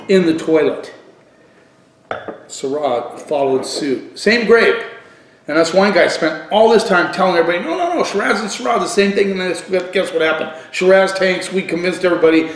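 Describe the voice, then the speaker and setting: Middle-aged man, somewhat high pitch, casual reviewer, halting thinking aloud, emphatic repeats, roomy camera-mic sound. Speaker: wine reviewer. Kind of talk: casual video wine review. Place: living room at home, indoors. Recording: echoey room.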